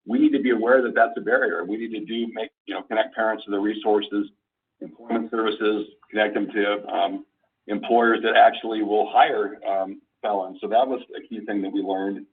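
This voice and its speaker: A low-voiced man presents slides to colleagues on a conference call. His voice is husky and he explains in a halting, deliberate manner. The sound is thin and phone-like, as if he is some way from the receiver. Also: far from the mic. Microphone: conference call phone line.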